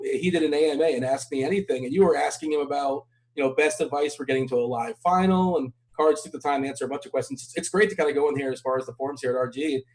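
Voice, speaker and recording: A man's voice: on the high side, darkish tone, low-quality recording. A man hosting a casual interview, asking questions in an upbeat, playful way. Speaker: host.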